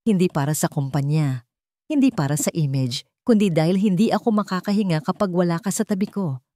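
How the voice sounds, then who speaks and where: Lady doing earnest rich young guy pouring his heart out in a love story, soft dark tone, dry sound. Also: fairly low pitch, expressive, fairly quick, no echo, lightly acted. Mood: pleading, loving. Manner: tender, heartfelt, emotional.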